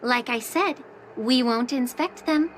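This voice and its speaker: An actress playing a calm, long-lived alchemist official who speaks with dry, teasing sarcasm in a video game. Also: female voice, high voice, measured pace, very noisy. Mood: wry. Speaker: head alchemist.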